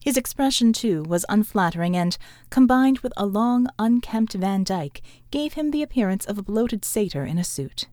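A woman reading literary fiction as an audiobook narrator, in a measured, articulate storytelling delivery. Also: medium pitch, lively intonation, mellow, no room sound, studio quality.

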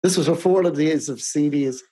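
A man's voice: on the high side, moderate pace, warm tone, husky. A man in his fifties, co-hosting a casual podcast, talking in a rambling way with many restarts. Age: 50s. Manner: rambling, restarts often, chuckles. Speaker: podcast co-host. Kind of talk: casual chat.

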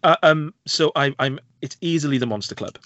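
A man's voice: a little high, brisk, sharp tone, dry recording. A man with a Welsh accent co-hosting a film podcast, chatting casually and hesitantly with lots of fillers. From Wales. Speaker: podcast co-host. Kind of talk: casual chat.

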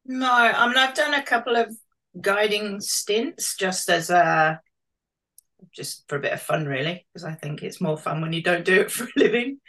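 A woman's voice: medium pitch, lively intonation, moderate pace, a bit bright, poor audio. A woman in her fifties from London with a British accent, answering questions in a casual conversational interview. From London, England. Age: 50s. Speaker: interviewee, interpretive planner and tourism marketer.

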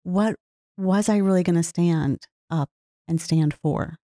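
A woman speaking in an earnest, heartfelt way, reflecting slowly on her own life. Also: on the low side, mellow, dry recording, clean studio sound. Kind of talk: reflective personal talk.

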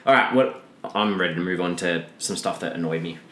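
Low-voiced man in an echoey room. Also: fairly quick, pushed and bright. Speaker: film discussion host. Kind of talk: casual opinionated film review chat. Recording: echoey room, noticeable hiss and rumble.

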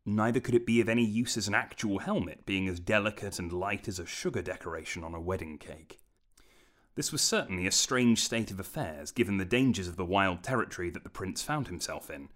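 Low-pitched man narrating fantasy, expressive range. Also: brisk. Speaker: audiobook narrator. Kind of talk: scripted fantasy story narration.

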